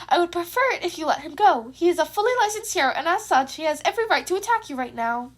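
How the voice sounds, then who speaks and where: A woman's voice: very high-pitched, expressive, fast talker, pitched above the performer's own voice. A woman voicing a composed, protective male animal mentor in an audio story, formal, polite and self-assured. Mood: politely threatening.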